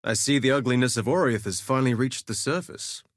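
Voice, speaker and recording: An actor playing a vain, flirtatious gladiator duelist in a video game, with a theatrical, suave and sardonic delivery. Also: male voice, mid-range voice, a little fast, no room sound, clean studio sound, close to an everyday voice. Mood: cocky, wry. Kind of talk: scripted game voice lines, theatrical quips.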